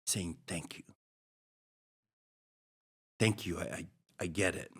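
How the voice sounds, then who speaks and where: A middle-aged man from Hawaii, a podcast guest, telling a personal story in a halting, reflective way with many restarts. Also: low voice, fairly even intonation, husky.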